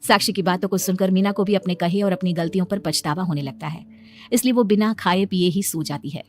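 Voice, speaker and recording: A woman's voice: fairly low pitch, fairly quick, a little mellow. A woman reading scripted story narration, telling a family tale as a narrator.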